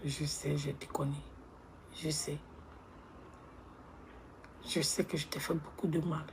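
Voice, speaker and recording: A woman playing a remorseful young woman who begs her ex on the phone. She speaks softly and pleads, often close to a whisper. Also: low-pitched, flat delivery, slow pace, a little hiss or hum, pitched below the performer's own voice. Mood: pleading. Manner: soft, hushed, pleading, often near a whisper. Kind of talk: comedy skit monologue.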